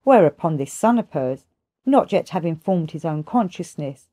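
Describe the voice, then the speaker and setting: A woman's voice: low voice, lively intonation, moderate pace, mellow, no echo. A woman voicing a kindly but sarcastic male pharmacist who explains things at length in an audiobook. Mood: sarcastic, scornful. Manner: sarcastic, expository, rhetorical questions. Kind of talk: audiobook character dialogue.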